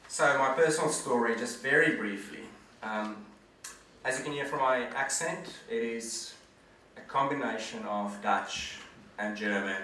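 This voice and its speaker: A man's voice: on the low side, pushed and bright, a little hiss or hum. A man in his forties gives an earnest, conversational presentation to an audience as a guest speaker. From South Africa. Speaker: guest speaker, management consultant. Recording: echoey hall from far off.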